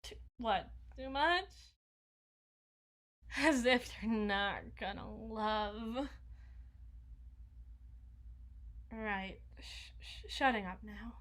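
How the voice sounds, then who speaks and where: Woman acting a slow, bright-voiced needy vampire girl. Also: on the high side. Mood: flustered, pleading. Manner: stammering, breathy asides, dropping into whispers. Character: flustered, needy, socially awkward young female vampire. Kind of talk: intimate ASMR roleplay.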